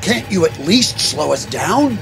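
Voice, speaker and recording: A man's voice: a little high, big pitch swings, a little slow, vocal fry, a bit bright, a little hiss or hum, heavily acted. A man playing a loyal, capable investigator sidekick in old-time radio drama, earnest and urgent.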